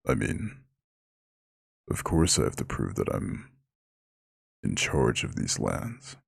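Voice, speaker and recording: A man voicing an ancient eldritch god in an ASMR roleplay, proud and lordly yet tender, with a very deep, husky, gravelly growl. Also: huge pitch swings, no echo, studio quality, full character voice. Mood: proud, indignant. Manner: lordly, formal, slow comforting address. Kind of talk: scripted ASMR roleplay monologue.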